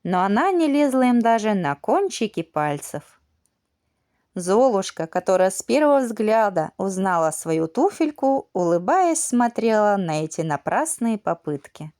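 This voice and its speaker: Expressive woman reading a children's fairy tale. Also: medium pitch, no echo, studio quality. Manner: expressive, measured bedtime-story reading. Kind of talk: scripted audiobook narration of a children's fairy tale.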